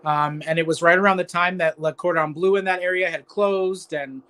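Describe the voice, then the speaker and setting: Bright-voiced easygoing male baker. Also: a little high, fairly even intonation, moderate pace, smooth. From California, USA. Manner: easygoing, talks in long stringing sentences. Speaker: guest baker. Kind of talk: casual interview answers.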